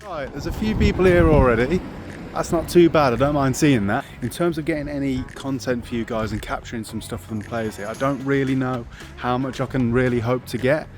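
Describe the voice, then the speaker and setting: A man's voice: mid-range voice, a little fast, over music. A young man, chatting casually and rambling to his phone camera outdoors around a football stadium. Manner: chatty, rambling, self-mocking. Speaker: football fan vlogger.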